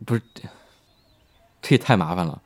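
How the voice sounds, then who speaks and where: A man's voice: deep voice, animated, normal speed, dry recording. A man playing a polite, modest and considerate character in an audio drama. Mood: polite, reluctant.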